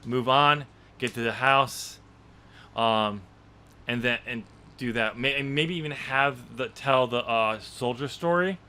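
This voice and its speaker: Bright-voiced man chatting casually. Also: medium pitch, unhurried, clear, resonant tone. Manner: chatty, laughs often, rambling with many fillers. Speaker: podcast host and author. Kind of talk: casual chat about his novel draft.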